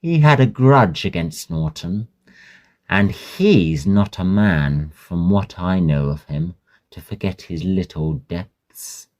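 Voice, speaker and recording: Man acting a low-voiced, breezy Victorian student gossip. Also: expressive, takes it slowly, darkish tone. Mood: gossipy, dramatic. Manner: jaunty, storytelling, old-fashioned slang. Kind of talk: Victorian audio drama dialogue.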